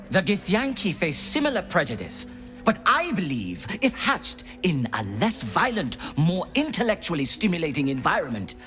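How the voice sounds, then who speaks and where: Brief: heartless game scientist; clipped, lecturing, swooping delivery. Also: male voice, medium pitch. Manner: precise, detached, lecturing. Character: cold Underdark scholar, callous and clinical. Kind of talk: video game voice acting.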